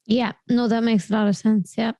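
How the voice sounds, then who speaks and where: A woman's voice: fairly high pitch, flat delivery, normal speed, warm tone, dry recording. A woman hosting a podcast, interviewing in a conversational way with short responses and questions. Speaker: podcast host.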